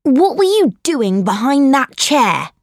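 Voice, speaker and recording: Spoilt fourteen-year-old storybook bully played by a woman: high, animated, deliberately paced, taunting and bossy. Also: dry recording, studio quality, heavily acted. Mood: demanding. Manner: commanding, taunting. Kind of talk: audiobook character voice.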